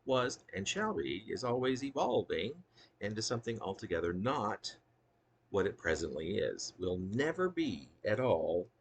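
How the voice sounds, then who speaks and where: Male poet, deep and creaky, earnest deliberate delivery of his own verse with offhand asides. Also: sing-song, a little hiss or hum. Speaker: poet reading own work. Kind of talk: spoken poetry reading with casual asides.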